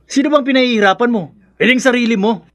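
An actor with a Filipino accent playing a dismissive, evasive, philandering veterinarian in a radio drama. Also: male voice, very high-pitched, quick pace, fairly bright tone, slight background noise. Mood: irritated, dismissive.

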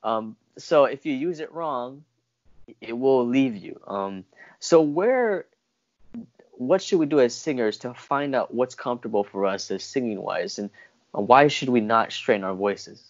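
A young man hosting a casual interview over a video call, feeling his way haltingly through his questions. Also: medium pitch, lively intonation. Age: young adult. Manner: halting, hesitant when framing questions. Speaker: interview host. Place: indoor room at home, video call. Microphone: wired earphones on a video call. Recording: dull, muffled video-call audio.

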